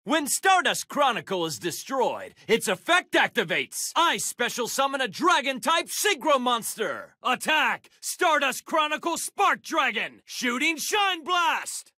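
A man's voice: very high voice, big pitch swings, bright, forceful tone, dry recording, raised voice, studio quality, exaggerated voice. An actor playing a heroic, determined young male card duelist in an anime video game, with intense, dramatic declarations. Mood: fierce, triumphant. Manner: intense, dramatic declarations, drawn-out attack calls.

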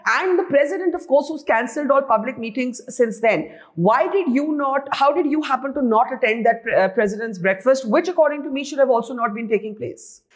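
A woman's voice: sits high, animated, brisk, hardly any pauses. A middle-aged woman interviewing a guest from a book-lined room, asking pointed, probing questions. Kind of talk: news interview.

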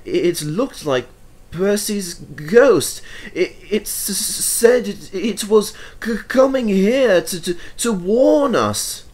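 A man's voice: sits high, lively intonation, takes it slowly, darkish tone, voice pushed up, heavily acted. A man voicing a timid-sounding tram engine in a children's storybook, hesitant and stammering. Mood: shaky, frightened.

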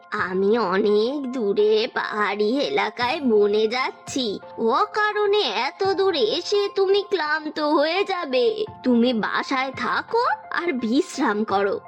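A woman acts as an earnest young village husband in an animated horror tale. He speaks slowly and a bit hesitantly, with music playing clearly behind him. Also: on the high side, voice pushed down. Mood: caring. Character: earnest village herb-gatherer husband, easily startled.

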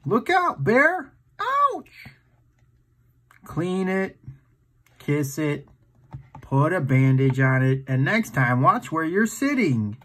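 Animated man reading a children's storybook. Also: fairly high pitch, slow pace, long pauses. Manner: playful, exaggerated, asks the listener questions. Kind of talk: animated read-aloud picture book storytime for young children.

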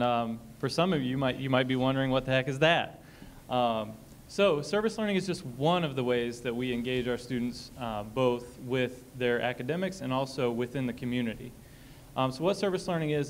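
A man's voice: medium pitch, a little hiss or hum. A man speaking on a college panel in an auditorium, explaining a program with frequent ums and reading a short passage aloud. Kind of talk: panel discussion talk.

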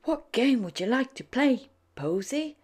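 A woman voicing a friendly, excitable little rabbit boy from a storybook, with an eager, childlike way of calling out. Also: a little high, a little slow, husky, voice pushed up, heavily acted.

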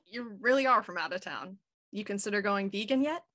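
A woman's voice: medium pitch, animated, a little fast, fairly bright tone, no echo, clean studio sound, natural voice. A woman playing a cool, offhand, trendy city woman in an audio drama, blase and clipped. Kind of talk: naturalistic audio drama read-through.

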